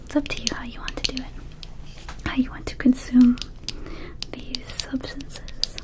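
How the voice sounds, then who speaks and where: A woman voicing a gentle vampire dispensary keeper in a whispered ASMR roleplay, soothing and unhurried, with childlike wording. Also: high-pitched, flat delivery, natural voice. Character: gentle female vampire dispensary keeper offering magic herbs.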